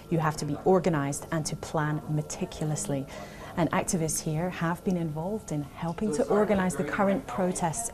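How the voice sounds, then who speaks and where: Low-voiced woman reporter narrating. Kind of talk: scripted news narration.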